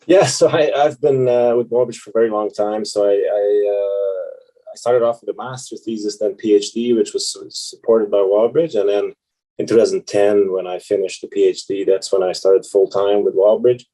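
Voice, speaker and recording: Man in his forties, soft dark low voice, halting answers. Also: fairly even intonation, poor audio. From Hungary. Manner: halting, many restarts and repeated words. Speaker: guest, VP of exploration. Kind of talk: casual interview answers.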